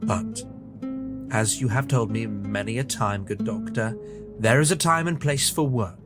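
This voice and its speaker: An actor playing a dryly witty, proud Victorian detective in an audio drama, speaking in a clipped, nonchalant, formal way. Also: male voice, mid-range voice, measured pace, loud music behind the voice, close to an everyday voice. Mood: resolute. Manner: clipped, nonchalant, formal old-fashioned speech.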